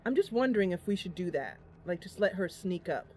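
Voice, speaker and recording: A woman's voice: on the low side, expressive, fairly quick, a little mellow, a little hiss or hum. A woman hosting a live game stream, chatting casually and narrating in a playful, chatty manner. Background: game music.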